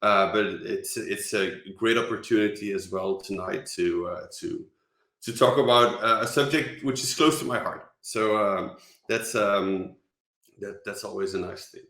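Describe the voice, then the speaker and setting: A man with a Dutch accent gives an online conference talk in a fairly low voice. He stops and restarts often, filling gaps with ums. His voice carries the echo of the room around him. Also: fairly even intonation, pushed and bright. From Netherlands. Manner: halting, many fillers and restarts. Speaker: conference speaker.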